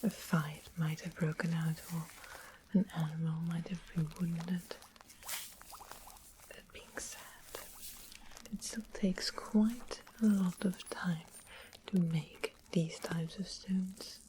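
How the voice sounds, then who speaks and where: A woman playing a sweet-seeming witch who makes potions, whispering gently as she explains things in a fantasy ASMR roleplay. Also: lowish voice, fairly even intonation, slow. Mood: gentle, hushed. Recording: close whisper with clear background hiss.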